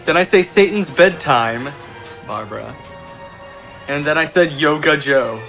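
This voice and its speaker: Male game panelist, goofy casual chatter, bright forceful voice on the high side. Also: expressive, unhurried, soft background music. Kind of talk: casual comedic chat.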